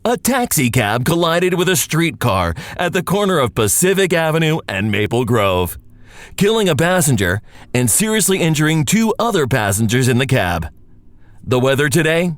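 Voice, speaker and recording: A man's voice: a little high, big pitch swings, normal speed, no echo. An actor playing a laid-back, sardonic radio newsman and disc jockey in an audio drama, with a wry, casual manner. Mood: wry newsreading. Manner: wry, casual, dry-humored. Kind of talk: naturalistic audio drama acting.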